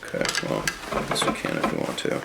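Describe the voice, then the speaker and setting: A man hosting a paintball live stream, talking casually and haltingly as he runs the setup. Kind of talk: casual chat while running a stream. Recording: loud noise in an echoey room.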